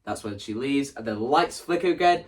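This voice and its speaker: A man's voice: mid-range voice, expressive, a little fast. A man talking casually and enthusiastically through his own creative ideas in an explanatory vlog, emphatic and often repeating phrases for stress. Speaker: video creator presenting own stage play.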